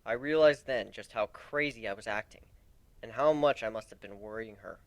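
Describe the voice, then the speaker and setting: A man's voice: lowish voice, moderate pace, dry recording. A man reading scripted first-person story narration in a dramatic, brooding manner.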